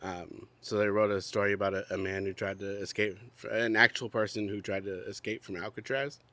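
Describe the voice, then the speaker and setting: Low-voiced man with a lingering cough. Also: steady tone, a little fast, pushed and bright. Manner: chatty, rambling with many fillers and laughs. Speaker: podcast co-host. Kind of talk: casual chat. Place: outdoors.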